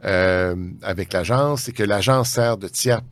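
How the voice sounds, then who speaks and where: Low-voiced man with a Canadian French accent. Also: unhurried, no room sound. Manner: hesitant, circling back mid-sentence. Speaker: tax ombudsman interviewed as guest. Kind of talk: unscripted explanatory interview talk.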